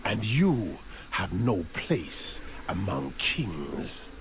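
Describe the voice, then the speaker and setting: An actor playing a scheming, zealous troll prophet in a video game cutscene, with theatrical, grandiose delivery. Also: male voice, low-pitched, very animated, background noise, heavily acted. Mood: mocking, menacing. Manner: theatrical, grandiose, slow declaiming. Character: scheming male troll prophet, zealous traitor to his king.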